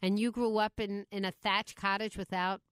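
A woman hosts a radio talk show, her voice sitting at an ordinary middle pitch. She sounds eager and exclamatory, recorded close and dry in a studio. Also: flat delivery, normal speed, a bit bright, smooth, dry recording. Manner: enthusiastic, exclamatory. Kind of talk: radio interview.

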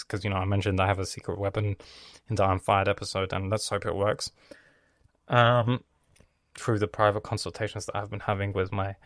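A man raised in Lebanon hosts his own podcast, speaking low with a gravelly, fry-laden voice. He rambles freely, swears casually and often loses his thread. The recording sounds dry and close. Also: a bit bright, no echo. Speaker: podcast host.

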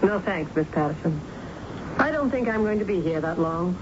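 An actress playing a stern, controlling middle-aged mother in a radio drama, speaking in a firm, probing, deliberate way. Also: lowish voice, animated, natural voice. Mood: cold, stern. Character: stern, controlling wealthy mother, protective of her grown son. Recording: muffled, noisy old radio broadcast recording.

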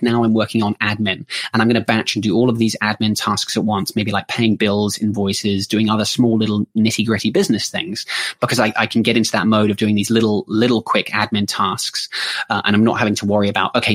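A man, co-hosting a podcast and explaining his routine in a relaxed, chatty way, often restarting his phrases. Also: fairly low pitch. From New Zealand. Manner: explanatory, with frequent restarts and "sort of" fillers. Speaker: podcast co-host. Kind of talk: casual conversational podcast chat. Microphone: studio podcast microphone.

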